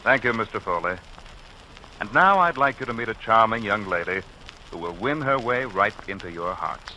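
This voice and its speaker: A man's voice: fairly low pitch, lively intonation, normal speed, pushed and bright. A man presenting on 1940s radio, making formal introductions in an announcer's style. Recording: faintly noisy 1940s radio recording.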